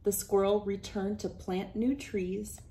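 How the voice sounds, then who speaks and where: A woman in her thirties reading a children's storybook aloud with expressive, deliberate delivery. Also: mid-range voice, a little hiss or hum. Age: 30s. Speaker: children's book reader. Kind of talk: read-aloud storybook narration. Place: small wood-panelled room indoors.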